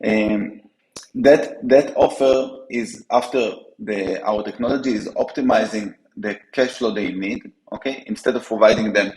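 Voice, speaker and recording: A man with an Israeli accent, a company co-founder answering interview questions, halting with frequent fillers and restarts. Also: on the low side, fairly even intonation, a little mellow, roomy sound, poor audio. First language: Hebrew. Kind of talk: business interview.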